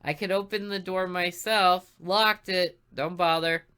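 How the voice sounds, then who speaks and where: A lazy, grumpy dorm manager mutters dismissive replies through a locked door, as a woman puts him on. The voice sits a little low and is forceful. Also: fairly even intonation, a little slow. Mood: grumbling. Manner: gruff, dismissive.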